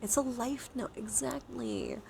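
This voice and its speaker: A woman hosting a live ASMR stream, speaking softly and gently to viewers and often slipping into whispers. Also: a little high, huge pitch swings, unhurried, gravelly, slight background noise, poor audio.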